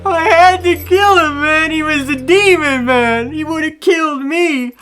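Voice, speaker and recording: Frantic, high-pitched stammering killer. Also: male voice, measured pace, sharp tone, soft background music, full character voice. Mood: desperate, tearful. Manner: stammering, pleading, repetitive. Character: frantic drug-addled killer pleading that a demon made him. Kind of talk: audio horror drama acting.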